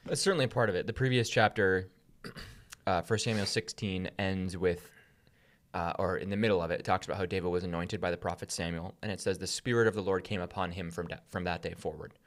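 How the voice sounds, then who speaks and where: A man co-hosting a podcast, explaining and retelling in an informal, everyday storytelling manner. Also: deep voice. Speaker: podcast co-host. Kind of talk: casual explanatory Bible podcast talk.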